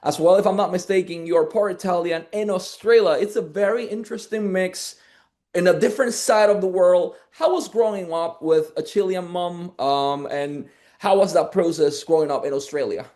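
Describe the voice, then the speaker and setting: A man's voice: high-pitched, moderate pace, a little mellow. A man hosting a wrestling interview, eager and talkative as he asks his questions. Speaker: interview host. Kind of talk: energetic sports interview.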